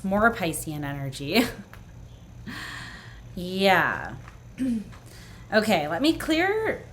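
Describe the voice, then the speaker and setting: A woman doing a tarot reading for the viewer, earnest and reassuring, often clearing her throat as her voice grows hoarse. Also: medium pitch, huge pitch swings, extremely slow, slight background noise. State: voice going hoarse. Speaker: tarot reader. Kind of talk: pick-a-card tarot reading, speaking to the viewer.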